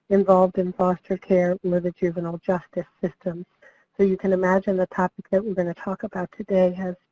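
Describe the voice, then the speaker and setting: Slightly hoarse woman hosting a webinar. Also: fairly low pitch, dark tone, no echo, dull audio. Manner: friendly, with frequent ums. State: slightly hoarse, losing her voice. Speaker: webinar project director. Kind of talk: webinar welcome and overview.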